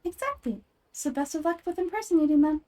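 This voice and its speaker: A woman voicing a small, calm, wise girl deity from a game, gentle and measured. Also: high-pitched, natural voice. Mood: calm, helpful.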